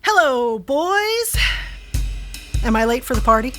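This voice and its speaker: A woman's voice: sits high, sing-song, slow, soft background music, exaggerated voice. A woman acting in an audio drama as a defiant, sarcastic woman with a teasing, flirty streak. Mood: flirty, brazen. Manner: sardonic, teasing.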